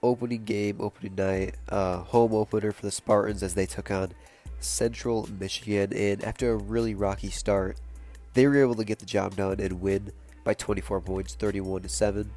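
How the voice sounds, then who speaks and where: A man's voice: on the low side, steady tone, moderate pace, dark tone, soft background music. A young man with a bad cold and stuffed-up nose, casually recapping a football game with lots of fillers. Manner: casual, rambling, many fillers. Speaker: sports podcast host.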